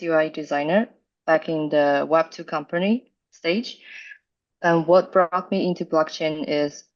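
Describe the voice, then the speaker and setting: Slow, low-voiced woman from China. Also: lo-fi sound. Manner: halting, frequent restarts and fillers. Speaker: community co-founder. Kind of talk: podcast self-introduction.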